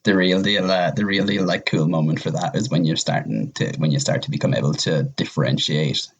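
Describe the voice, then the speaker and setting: A man with a low-pitched voice that has a husky edge. He talks casually as a podcast panelist, rambling conversationally as he offers advice. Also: fairly quick, dull audio. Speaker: podcast panelist giving language-learning advice.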